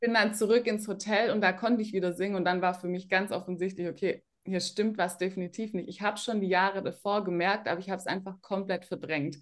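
A woman, a trained singer and coach, tells stories as a podcast guest. She talks fluently and acts out the reactions of the people she describes. Her voice sits at a middle pitch. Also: brisk, hardly any pauses. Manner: lively storyteller, acts out quoted reactions. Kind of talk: casual interview chat.

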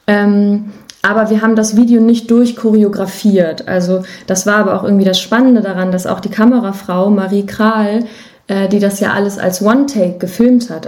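Casting: female musician as interview guest, warm dark tone, medium pitch, relaxed conversational delivery. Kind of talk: casual interview chat.

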